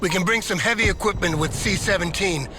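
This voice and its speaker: An actor playing an authoritative army general giving firm orders in a military strategy game. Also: male voice, high-pitched, fairly quick, very noisy, close to an everyday voice. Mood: firm, urgent. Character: commanding US army general directing an airbase assault. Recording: loud music over the voice.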